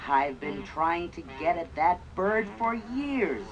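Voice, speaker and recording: A man voicing a scheming male cat in a storybook record, speaking in a slow sly mutter, with synth music behind. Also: a little high, expressive, muffled recording, pitched above the performer's own voice.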